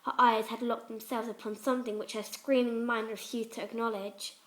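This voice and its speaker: A woman's voice: on the high side, flat delivery, brisk. A woman reading fan fiction aloud as a dramatic scripted story narration.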